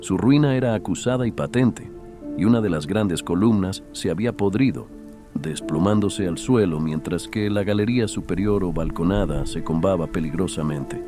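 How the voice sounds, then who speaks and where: Deep male voice, solemn audiobook narration, horror tale, measured delivery, clear music and rain behind. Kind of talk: scripted horror story narration.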